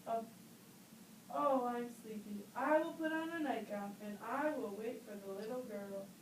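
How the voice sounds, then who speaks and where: A woman voicing a sly, hungry male wolf who feigns politeness in a fairy-tale puppet show, drawn-out and coaxing. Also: a little high, pitched below the performer's own voice, natural voice. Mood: sleepy, scheming. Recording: distant roomy recording with faint noise.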